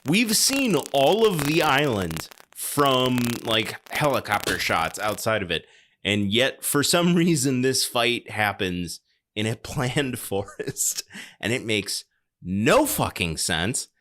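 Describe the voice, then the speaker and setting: A man's voice: mid-range voice, big pitch swings, takes it slowly, a bit bright. A man hosting a podcast, talking casually about films in rambling, exasperated explanations. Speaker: podcast host. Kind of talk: casual film discussion.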